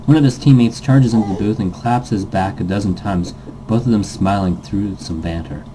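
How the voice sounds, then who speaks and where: A man's voice: lowish voice, moderate pace, noisy background. A man reading visual novel narration aloud dramatically, stumbling now and then and rereading phrases. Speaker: reader, host of a let's read series.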